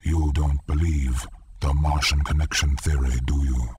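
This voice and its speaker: Very deep, husky skeptic. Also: male voice, steady tone, moderate pace, darkish tone, no echo, full character voice. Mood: skeptical. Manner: broken grammar, skeptical. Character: skeptical male foreign schemer with broken English. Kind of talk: dramatic video game voice acting.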